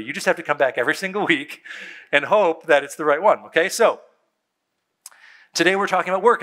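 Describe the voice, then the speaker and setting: A man, a pastor preaching a sermon on a church stage, conversational and emphatic, with dramatic pauses and soft drops in his voice. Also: a little high. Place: church stage before a seated congregation.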